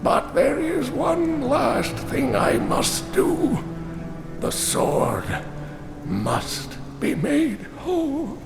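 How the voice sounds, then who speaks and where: An actor playing a frail, dying old scholar who speaks slowly and solemnly in a gravelly voice. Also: male voice, very high voice, very animated, reverberant, music in the background, full character voice. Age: older. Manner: slow, solemn and weighty. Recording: game score loud behind voice.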